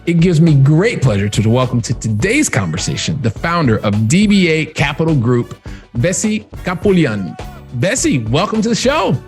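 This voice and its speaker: A man's voice: on the high side, very animated, moderate pace, faint music behind. A man hosting an interview podcast, upbeat, often repeating words and restarting sentences. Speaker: podcast host.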